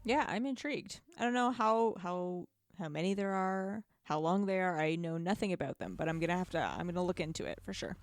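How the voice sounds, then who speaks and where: A woman's voice: lowish voice, brisk, hardly any pauses, dry recording, studio quality. A woman guesting on a podcast, chatting casually in an informal, emphatic way.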